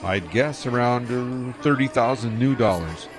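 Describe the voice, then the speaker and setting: A man's voice: mid-range voice, animated, measured pace, music in the background, close to an everyday voice. An actor playing an easygoing young male starship pilot who talks in a casual, laid-back way in an audio drama.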